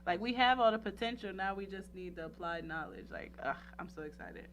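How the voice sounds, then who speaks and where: A woman's voice: medium pitch, brisk. Playful, chatty woman radio host, noisy backdrop. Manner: animated, playful, slangy, frequent "like" and "you know". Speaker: radio talk show host. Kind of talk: casual, chatty interview.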